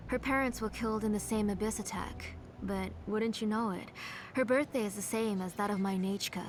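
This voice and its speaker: A woman voicing a middle-aged, grieving, obsessive mother in a video game, in a soft, hushed and fervent manner. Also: medium pitch, a little hiss or hum, lightly acted.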